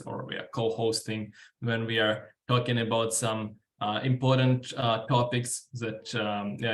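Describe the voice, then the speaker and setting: A man in his twenties with a Ukrainian accent, speaking informally and haltingly as a guest at a workshop. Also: medium pitch. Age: 20s. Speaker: guest speaker, student delegate. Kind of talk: informal workshop talk answering questions.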